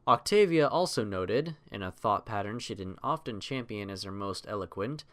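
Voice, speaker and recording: A man reading a scripted story as narrator, in an expressive, literary delivery. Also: on the low side, moderate pace.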